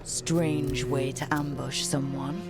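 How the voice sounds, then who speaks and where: A resolute video game heroine with a low voice. She talks directly, with a wry edge, over clearly audible music. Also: level tone, slow pace, full character voice. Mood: wry, challenging. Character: resolute, wry young heroine fighting alongside rebels. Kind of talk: video game dialogue acting.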